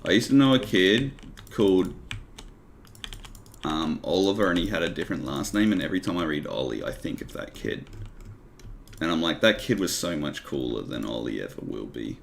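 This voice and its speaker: A man with a very deep voice and a gravelly edge, trading dry, teasing jokes with a friend while they play. His pitch still moves around a fair bit, and faint noise sits behind him. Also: sing-song, moderate pace. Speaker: co-streamer. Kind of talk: casual gaming chat.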